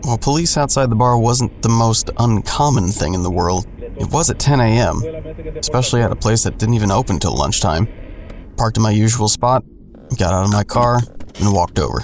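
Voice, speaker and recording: A man's voice: on the low side, lively intonation, a little fast, crowd noise. An actor playing a man who narrates his own troubled story in a first-person audio drama. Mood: descriptive. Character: adult man recounting his troubled past. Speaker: narrator and main character.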